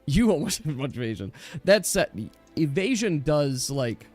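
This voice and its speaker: A man running a tabletop game, explaining rules casually in a chatty, halting way. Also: fairly high pitch, very animated, darkish tone, faint music behind. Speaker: game master.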